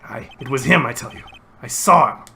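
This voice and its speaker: Man doing a gravelly, shifty, panicky witness. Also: mid-range voice, brisk, pushed and bright, a little hiss or hum. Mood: furious. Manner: stammering, ranting, taunting. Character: shifty, panicking male murder witness, defiant and vengeful. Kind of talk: hobby video game dub.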